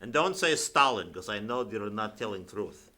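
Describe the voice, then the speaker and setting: Bright-voiced wisecracking male radio host. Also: on the low side, lively intonation. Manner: wisecracking, quick comebacks. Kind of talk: comedic radio banter.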